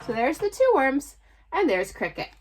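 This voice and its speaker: Casting: woman storytime presenter, high-pitched, very expressive, slow and affectionate, chatting to young listeners. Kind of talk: picture book read-aloud for children.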